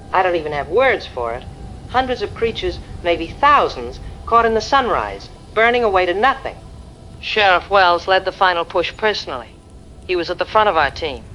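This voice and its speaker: Man acting a bright, shaken eyewitness caller. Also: a little high, poor audio. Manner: dramatic, halting, emotional. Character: shaken eyewitness caller from a fire crew team. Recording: clear background noise.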